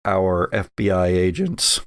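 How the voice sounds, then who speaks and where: A man narrating a tabletop roleplaying game as game master, speaking in a halting, hesitant way. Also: deep voice, very animated, background noise.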